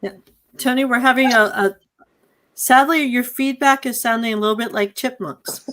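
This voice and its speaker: A woman's voice: a little high, moderate pace, fairly bright tone. A woman moderating a live question-and-answer session over a video call, speaking as the host.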